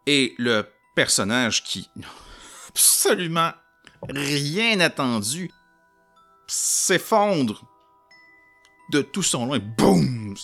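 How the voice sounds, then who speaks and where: A man with a Québécois accent narrating a solo tabletop roleplaying game in an animated, jokey way and talking to his audience. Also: a little high, extremely slow, pauses often, soft background music. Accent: Québécois French.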